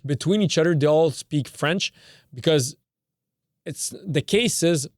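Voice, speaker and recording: A man's voice: fairly high pitch, measured pace, no room sound, clean studio sound. A young man from Canada whose first language is Serbian, hosting a podcast in a rambling way, often correcting himself and swearing casually. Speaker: podcast host. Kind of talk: casual football talk.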